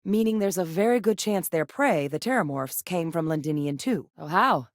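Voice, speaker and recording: An actress voicing an earnest female space officer in a video game, speaking game voice lines in a measured way. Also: fairly low pitch, expressive, dry recording. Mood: determined. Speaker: game companion character. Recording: dry studio recording.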